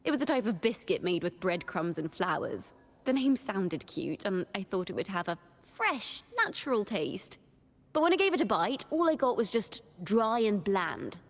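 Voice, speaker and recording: A young horned bard tells her tales in a game. Her voice sits at a medium pitch, lyrical and expressive, cheerful yet touched with wistfulness. A long, hall-like reverb hangs on her words. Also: moderate pace. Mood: cheerful, chatty. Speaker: game character voice. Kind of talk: scripted character monologue.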